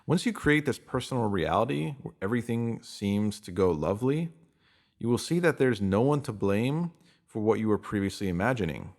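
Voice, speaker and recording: A man's voice: lowish voice, animated, moderate pace, a little mellow. A man reading a scripted self-help piece, addressing the listener directly. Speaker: reader of written posts.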